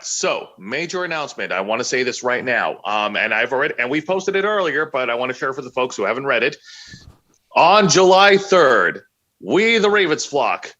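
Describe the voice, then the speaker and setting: A man's voice: a little high, sharp tone, highs cut off. A man co-hosting a live stream, chatting casually and giving announcements.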